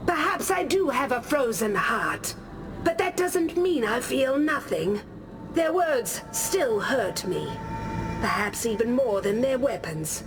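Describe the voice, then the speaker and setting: Aloof scholarly warrior spirit, game monologue, high female voice, unhurried and deliberate, music behind. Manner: measured, reflective, deliberate storytelling. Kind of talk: scripted game character monologue.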